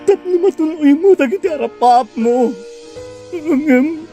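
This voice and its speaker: A man's voice: very high-pitched, lively intonation, unhurried, dark tone, soft background music. An actor with an Ilocano accent playing a heartbroken, betrayed young man in a radio drama, emotional and reproachful. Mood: anguished, betrayed.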